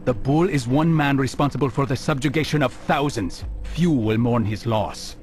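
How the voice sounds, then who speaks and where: A man playing a grave, resolute medieval assassin in a video game, speaking in a measured, formal way. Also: mid-range voice, a little mellow, lightly acted. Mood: resolute, serious. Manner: measured, serious, formal phrasing. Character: grave, resolute young male medieval assassin, cool and philosophical. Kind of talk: video game cutscene voice acting. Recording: music behind the voice.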